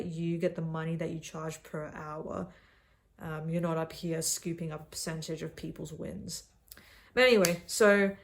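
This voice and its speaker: A woman's voice: on the low side, a little mellow. A young Australian woman in her twenties talking casually to camera from a cabin, brash and self-mocking. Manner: brash and self-mocking, calls the viewer sweetheart. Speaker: vlogger.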